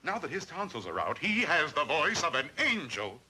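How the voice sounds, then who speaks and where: A grandiose tenor uncle in a 1960s sitcom, high-pitched and swooping all over. His voice rings bright and sharp, and he drifts into snatches of opera as he talks. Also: very animated, moderate pace, husky, full character voice.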